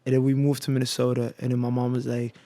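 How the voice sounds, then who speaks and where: A man in his twenties, born in Egypt and raised in the Midwest, chatting as a podcast guest. He tells animated, slangy stories, laughs often, and speaks into a studio boom mic. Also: quick pace, dry recording. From born in Egypt, raised in Ohio, USA. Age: 20s. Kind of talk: casual chat. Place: small home podcast studio, indoors. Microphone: studio condenser on boom arm, headphones.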